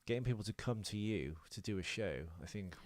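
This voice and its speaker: A man's voice: lowish voice, expressive, brisk, darkish tone, no echo, studio quality. A British man co-hosting a podcast, chatting casually and unscripted in a rambling, hesitant, self-deprecating way with some swearing. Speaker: podcast co-host.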